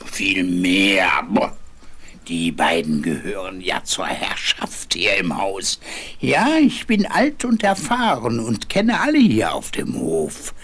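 A grumpy old watchdog in a children's fairy-tale radio play. He is husky and slow, swinging widely in pitch as he grumbles and boasts about better days. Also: wildly swooping pitch, fairly bright tone, exaggerated voice. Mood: nostalgic, proud. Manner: slow, drawn-out, grumbling reminiscence. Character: grumpy, boastful, nostalgic old male chained yard dog.

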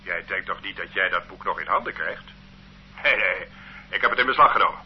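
A cold, accusing state interrogator for a radio play; husky voice, lo-fi sound. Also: male voice, low voice, fairly quick. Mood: stern. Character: stern male state police interrogator. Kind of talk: radio drama acting. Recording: dull lo-fi old radio recording.

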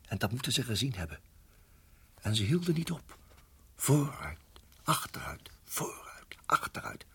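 An actor playing a tense husband in a radio drama, speaking in a hushed, halting, urgent way with a husky voice. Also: male voice, fairly low pitch, exaggerated voice. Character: tense husband guiding wife through deadly snake danger. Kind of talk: radio drama acting and narration.

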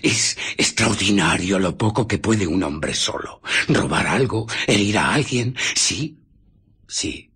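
An actor playing an embittered, menacing invisible scientist in a Spanish radio drama, with a Castilian accent, husky breath and intense, halting emphasis that sinks into whispers. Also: male voice, fairly low pitch, lively intonation, fairly bright tone, full character voice. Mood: brooding, intense.

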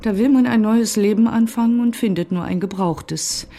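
A woman's voice: medium pitch, normal speed, warm tone, close to an everyday voice. An actress playing a dry, disillusioned divorced woman in a radio play, speaking with a sardonic edge. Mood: dry, detached. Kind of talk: radio drama acting.

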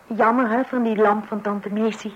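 A woman's voice: medium pitch, fairly even intonation, normal speed, muffled recording, lightly acted. An actress playing a long-suffering wife and mother in a Dutch radio play, hesitant and placating. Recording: hissy old radio recording.

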